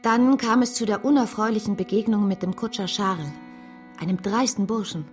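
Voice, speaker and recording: A proud but anxious gentlewoman in love, played by an actress in a radio drama. Her voice is of medium pitch, earnest and full of feeling, with faint music behind. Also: fairly quick, lightly acted. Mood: wounded. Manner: earnest, emotional. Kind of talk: German radio drama, dialogue and first-person narration.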